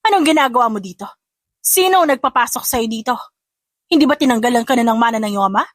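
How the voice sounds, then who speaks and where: A woman's voice: a little high, lively intonation, fast, no room sound, clean studio sound. A woman voicing a haughty, indignant middle-aged stepmother in an audio story, accusing and insistent.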